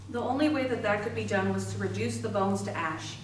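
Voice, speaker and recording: A woman's voice: mid-range voice, expressive, fairly quick, lightly acted. A woman playing a grieving sister, telling her story as a readers theater monologue. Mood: solemn. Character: grieving sister recounting an exhumation. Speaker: community theatre actor. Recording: echoey room, distant mic, faint noise.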